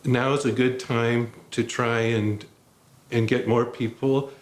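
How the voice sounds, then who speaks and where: A man's voice: medium pitch, level tone, slow pace, slight background noise. A man talking in a plainspoken, conversational way in a documentary interview. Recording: roomy echo.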